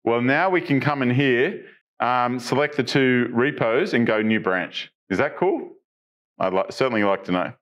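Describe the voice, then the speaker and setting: A man's voice: mid-range voice, expressive, normal speed, a bit bright, highs cut off. Chatty middle-aged man presenting tech news. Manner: chatty, asks viewers questions, frequent ums and restarts. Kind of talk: casual tech walkthrough. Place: studio stage with dark backdrop.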